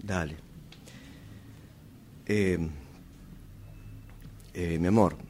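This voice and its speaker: Actor as a stammering, nervous Argentine husband, low-voiced. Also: male voice, steady tone, extremely slow, a little mellow, a little hiss or hum. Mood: nervous, hesitant. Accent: Argentine, Rioplatense. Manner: hesitant, stammering, repeats words. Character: nervous husband haltingly confessing an old affair. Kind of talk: radio theatre workshop acting.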